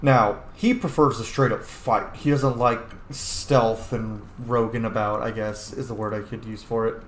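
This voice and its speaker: A man's voice: fairly low pitch, fairly even intonation, a little slow. A man narrating his roleplay playthrough of a game in a casual, informal, musing way. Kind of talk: casual game commentary and in-character dialogue reading. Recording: roomy echo, faint background noise.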